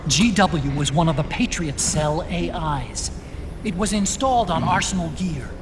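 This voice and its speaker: An earnest tech expert voiced by a man in a game cutscene. He explains things in a brainy, intent way, with clear background noise. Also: fairly high pitch, animated, normal speed, close to an everyday voice. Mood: intent explaining. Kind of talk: dramatic video game cutscene acting. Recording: noisy background ambience.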